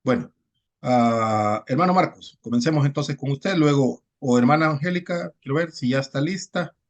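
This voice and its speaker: Man, pastor, online class, video call, relaxed, repeats himself, tag questions. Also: medium pitch, moderate pace, lo-fi sound. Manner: relaxed, repeats words and adds "¿verdad?" often. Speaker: pastor and course teacher. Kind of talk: informal online class welcome.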